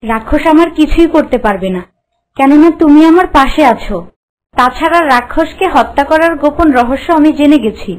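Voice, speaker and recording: A woman's voice: high voice, moderate pace, natural voice, rough recording. A woman playing a devoted young princess in a fairy-tale cartoon, earnest and pleading. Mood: determined. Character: devoted young princess, brave yet anxious.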